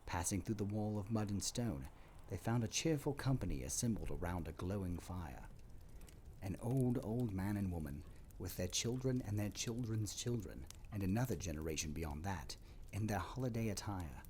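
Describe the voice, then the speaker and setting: Deep, expressive male storyteller. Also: moderate pace, slight background noise. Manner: dramatic, expressive. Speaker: narrator. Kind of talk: scripted story narration.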